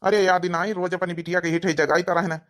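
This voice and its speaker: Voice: mid-range voice, level tone, fast talker, fairly bright tone, lightly acted. An actor voicing a plaintive village father who misses his married daughter, with an Awadhi accent in a cartoon comedy. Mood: wistful. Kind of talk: dialect cartoon comedy acting.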